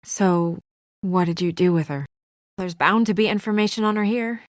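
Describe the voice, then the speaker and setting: An actress playing a brisk, guarded young female office assistant in a noir video game drama, speaking in a crisp, businesslike, dry manner. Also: on the low side, no room sound, close to an everyday voice.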